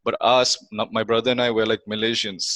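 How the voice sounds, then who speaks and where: Low bright-toned man from Sri Lanka. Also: fast talker, no room sound, dull audio. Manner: relaxed, says "bro" and "you know". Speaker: guest, hip hop artist. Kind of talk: casual conversation.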